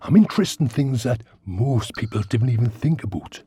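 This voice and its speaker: An actor playing a cheeky, chatty giant talking worm in a radio sitcom, with a gruff, husky Geordie voice and a blunt, rambling manner. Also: male voice, mid-range voice, animated, normal speed, warm tone, a little hiss or hum, close to an everyday voice. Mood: musing. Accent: Geordie, northeast England. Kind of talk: comic radio sitcom acting.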